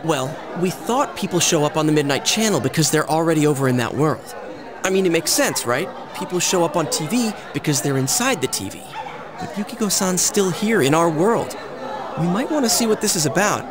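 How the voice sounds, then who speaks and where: A man's voice: on the high side, animated, brisk, natural voice. A man voicing an earnest, casual teenage schoolboy with an American accent in an anime-style video game. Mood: serious. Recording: game music and background crowd.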